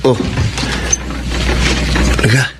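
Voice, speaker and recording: An actor playing a smitten, coaxing young man in a Filipino radio drama. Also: extremely slow. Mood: soft, coaxing. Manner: coaxing, insistent. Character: smitten young man, coaxing his childhood friend, scheming. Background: music.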